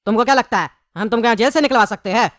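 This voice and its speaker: Woman-voiced grumbling, fast-talking cartoon pumpkin prisoner. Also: mid-range voice, no echo, studio quality, lightly acted. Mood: resentful. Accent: eastern Indian (Bihari-style) Hindi. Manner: querulous, complaining, haggling. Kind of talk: comedy cartoon dialogue. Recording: clearly noisy background.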